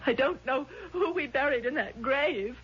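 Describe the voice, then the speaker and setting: A woman's voice: high-pitched, moderate pace, natural voice. An actress in a vintage radio drama playing a distraught, frightened woman who pleads breathlessly to be believed. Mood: insistent. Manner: pleading, breathless, emotional repetition. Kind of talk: 1970s radio drama acting. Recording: dull, noisy vintage radio recording.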